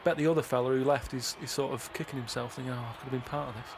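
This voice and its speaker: Guy rambling through a story really quick, vague and matter of fact, bit of background noise. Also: mid-range voice, fairly even intonation. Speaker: podcast co-host and storyteller. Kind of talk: casual anecdote telling among friends.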